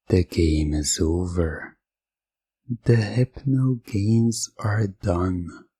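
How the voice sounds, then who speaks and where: A man playing a commanding, possessive hypnotist game master in a hypnosis roleplay, speaking deliberately and repeating himself hypnotically. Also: low voice, darkish tone, husky, dry recording, exaggerated voice. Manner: slow, deliberate, dominating, hypnotic repetition.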